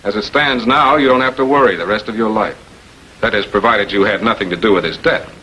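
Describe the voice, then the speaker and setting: An American actor playing a shrewd public prosecutor in 1940s television crime drama style, questioning in a deliberate, authoritative way. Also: male voice, fairly low pitch, sharp tone. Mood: pressing, accusing. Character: shrewd, composed male public prosecutor confronting murder suspects. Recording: noisy 1940s film soundtrack.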